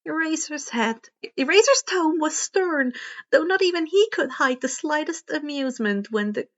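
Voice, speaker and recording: A woman's voice: high voice, lively intonation, normal speed. A woman reading fan fiction aloud as narrator, now and then stumbling and rereading phrases. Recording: dull, muffled lo-fi home recording.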